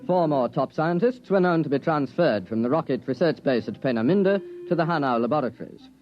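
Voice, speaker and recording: A man's voice: on the high side, lively intonation, a little fast, natural voice. A man narrating a radio drama as a formal, official chronicler of wartime events. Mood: measured, official. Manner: formal, official report delivery. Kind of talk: scripted documentary-style radio drama narration. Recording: dull vintage radio recording, music behind.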